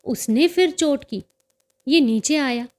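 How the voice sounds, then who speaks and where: A cheerful teenage dandy played by a woman narrator brags in a storybook tale. The voice is high and slow-paced, full of proud, excitable detail about bird fights. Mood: excited, proud.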